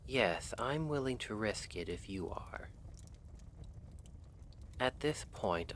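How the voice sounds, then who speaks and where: Casting: male actor as lovestruck noble assassin for ASMR roleplay, deep voice, unhurried, formal and earnest. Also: fairly bright tone, slight background noise, exaggerated voice. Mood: tender, resolved. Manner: measured, formal, hesitant phrasing.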